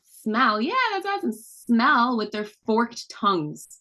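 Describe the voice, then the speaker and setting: A woman's voice: on the high side, very animated, a little slow, bright, forceful tone. A woman and mother, a children's book author, chatting casually on a video call and teaching children with questions and fun facts. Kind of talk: casual read-aloud talk with children.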